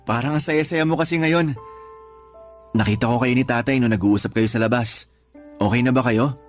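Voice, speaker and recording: A man playing a devoted, supportive younger brother in a radio drama, conversational, reassuring and given to gentle teasing. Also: mid-range voice, normal speed, lightly acted. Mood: light, teasing. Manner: earnest, reassuring, lightly teasing. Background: background music.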